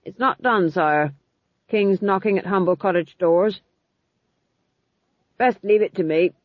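A narrator voicing a loud, over-eager male army sergeant who is blunt and deferential, in a storybook reading. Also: medium pitch, unhurried, no room sound, narrow, tinny audio, close to an everyday voice. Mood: dutiful, eager.

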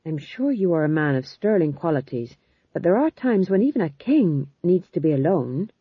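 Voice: mid-range voice, very animated, normal speed, breathy edge, no room sound, phone-quality sound. Mild, fussy storybook king, performed by a narrator. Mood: polite, hesitant. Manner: polite, hesitant, easily exasperated.

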